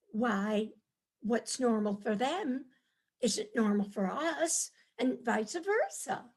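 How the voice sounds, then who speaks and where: A woman playing a cheerful New York wife charmed by the countryside, chatty and dreamy, in a staged reading of a comic play. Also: fairly high pitch. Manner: chatty, dreamy, easily excited.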